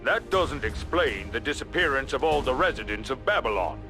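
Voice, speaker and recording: A man's voice: medium pitch, expressive, moderate pace, lightly acted. A man voicing an earnest head of state in a video game drama, grave and insistent, easily alarmed. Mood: grave, questioning. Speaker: character in a video game story scene. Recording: loud music behind the voice. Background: loud music.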